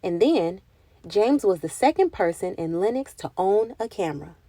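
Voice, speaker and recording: A woman reading a picture book aloud for children, with expressive, deliberate phrasing and dramatic emphasis. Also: medium pitch, dry recording. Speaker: children's read-aloud narrator. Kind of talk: expressive storybook narration for children.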